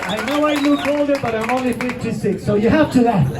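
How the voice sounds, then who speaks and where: High-voiced Mexican man doing stand-up. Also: a little fast, very noisy. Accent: Mexican Spanish. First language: Spanish. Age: 50s. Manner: animated, loud punchlines to a crowd. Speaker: stand-up comedian. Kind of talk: stand-up comedy set. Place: comedy venue with live audience. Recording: roomy venue sound from a distant mic with crowd.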